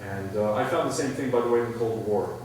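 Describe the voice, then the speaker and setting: A middle-aged man answering audience questions at a public talk, thoughtful and halting, with many restarts. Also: lowish voice, darkish tone. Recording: echoey hall, far from the mic, faint noise.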